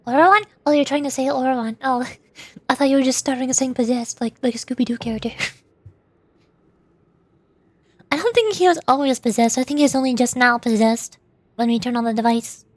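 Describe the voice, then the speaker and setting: A woman's voice: high-pitched, normal speed, pauses often, no echo. A woman livestreamer chatting casually over a game in a bubbly, rambling, giggly way. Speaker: livestreamer, VTuber.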